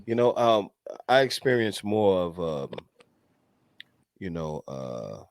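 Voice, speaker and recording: Low-voiced halting male host. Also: very animated, no room sound. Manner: halting, asks questions with many fillers.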